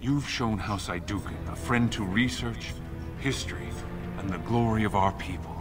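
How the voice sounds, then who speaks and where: An actor playing an earnest, polite dwarf scholar in a fantasy video game, formal and deferential. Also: male voice, on the low side, moderate pace, music in the background, close to an everyday voice. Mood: grateful.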